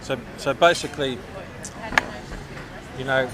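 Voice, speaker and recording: A man's voice: mid-range voice, steady tone, measured pace, background noise. A man interviewing outdoors, asking short prompting questions and nudging the talk along. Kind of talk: casual recorded conversation.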